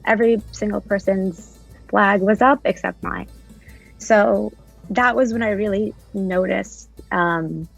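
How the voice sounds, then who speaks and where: A woman's voice: medium pitch, gravelly, no room sound, lo-fi sound. A woman in her thirties from New Jersey, telling personal stories as a panelist in an online discussion. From United States, New Jersey. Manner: personal storytelling, soft-spoken with frequent "like".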